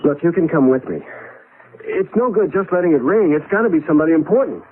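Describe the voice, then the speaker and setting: An actor in a 1970s radio drama playing an agitated, urgent husband stranded in the past, prone to stammering. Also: male voice, a little high, lively intonation, fast talker, breathy edge. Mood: impatient. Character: agitated husband displaced into his great-grandfather's era. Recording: narrow-band tinny vintage radio recording.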